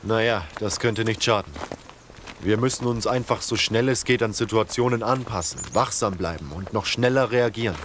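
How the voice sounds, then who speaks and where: Man acting calm, low-voiced survivor leader. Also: normal speed, slight background noise, close to an everyday voice. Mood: earnest, calm. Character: wounded, strategic leader of a survivor band, calm. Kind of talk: audio drama acting.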